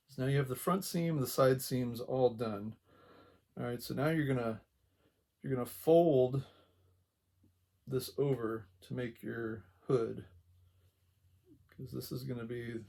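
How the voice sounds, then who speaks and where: A quiet-voiced man giving a casual, unhurried hands-on tutorial, talking along as he works, with a gravelly voice. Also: medium pitch. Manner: unhurried, talks along while working. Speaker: craft tutorial host.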